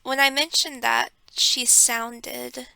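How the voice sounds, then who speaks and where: Young woman vlogger, hushed storytelling, sharp bright tone with gravelly edges, dry close mic. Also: on the high side, steady tone, slow, dry recording, low-quality recording. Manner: hushed and reflective, with many ums. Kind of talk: personal storytelling vlog. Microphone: close desk microphone.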